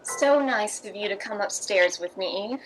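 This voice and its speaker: A woman playing a poised, worldly, reassuring playwright's wife in 1950s radio drama style, wry and measured. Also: mid-range voice, faint music behind, lightly acted, rough recording.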